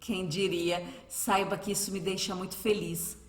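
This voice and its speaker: A woman's voice: medium pitch, flat delivery, moderate pace, natural voice. An actress playing an anxious, caring wife and mother in a radio drama, speaking earnestly with a Brazilian accent. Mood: concerned. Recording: echoey room, distant from mic.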